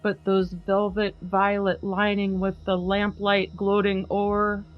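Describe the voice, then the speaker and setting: A woman hosting a podcast, giving a slow, emphatic dramatic reading of a poem over a video call. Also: mid-range voice, monotone, muffled recording. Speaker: podcast host.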